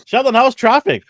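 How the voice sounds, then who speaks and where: A man with a raspy, under-the-weather voice hosting a casual panel chat. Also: very high voice, fairly bright tone, no room sound, studio quality. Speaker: host.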